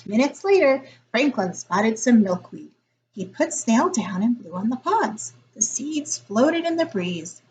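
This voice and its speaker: A woman reading a picture book aloud as a teacher would, in a deliberate, expressive storytelling style. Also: fairly high pitch, slight background noise, low-quality recording.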